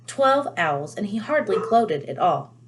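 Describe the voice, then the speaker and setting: A woman's voice: mid-range voice, very animated, measured pace, a little hiss or hum. A woman voicing frank, teasing teenage wizard brothers in a casual, matter-of-fact storybook reading. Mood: puzzled, wry.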